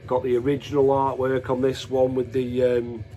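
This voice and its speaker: A man's voice: mid-range voice, monotone, normal speed, warm tone, slight background noise. A man giving casual, unscripted show-and-tell commentary as a host, in a hesitant, matter-of-fact way, often correcting himself. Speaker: host of a music collection video.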